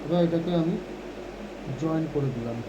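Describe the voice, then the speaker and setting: Man, high voice, rapid informal tutorial delivery, frequent check-in tags, noisy room. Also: lo-fi sound. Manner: repeatedly checks in with short tag questions. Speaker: phone repair instructor. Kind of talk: informal hands-on repair tutorial.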